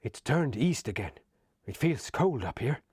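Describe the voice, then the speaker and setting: A man's voice: medium pitch, sing-song, a little slow, darkish tone, husky, no room sound, studio quality, exaggerated voice. A man reading a matter-of-fact young hobbit. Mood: uneasy.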